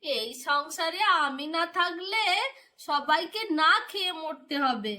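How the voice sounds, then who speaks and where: A woman's voice: high voice, lively intonation, takes it slowly, pushed and bright, exaggerated voice. A woman voicing a cruel, scornful village stepmother in a cartoon folk tale, scolding and taunting as she talks.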